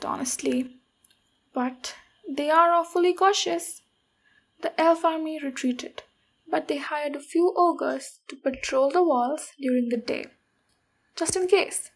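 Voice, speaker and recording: Woman playing a high-voiced, unhurried ogre warrior woman. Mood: matter-of-fact. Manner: unhurried, soft-spoken, reassuring, with pauses. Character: tough but protective female ogre warrior, gruffly caring. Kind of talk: ASMR roleplay, speaking to the listener.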